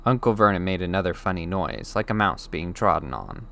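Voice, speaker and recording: A man reading a children's novel aloud as an audiobook, in expressive scripted narration. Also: sits low, fairly quick, vocal fry. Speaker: audiobook reader.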